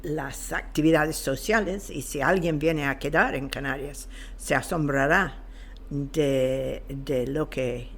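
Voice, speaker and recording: Older woman, slow and halting. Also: extremely low pitch, gravelly, a little hiss or hum. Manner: halting, searching for words. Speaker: podcast guest. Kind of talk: interview answers, reflective.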